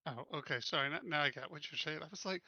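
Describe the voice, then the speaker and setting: A man's voice: fairly high pitch, fast talker, bright, forceful tone, no room sound, highs cut off. A man playing the captain in a tabletop game, talking casually and hesitantly, with lots of ums. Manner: hesitant, lots of ums, laughs when confused. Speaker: player and ship captain.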